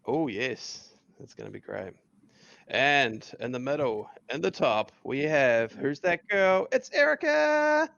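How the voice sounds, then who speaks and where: An excitable man hosts a casual podcast. His highish voice swings widely as he stretches words like a hype announcer, with a creaky edge. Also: takes it slowly, dry recording, highs cut off. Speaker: podcast host. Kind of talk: upbeat casual podcast introduction.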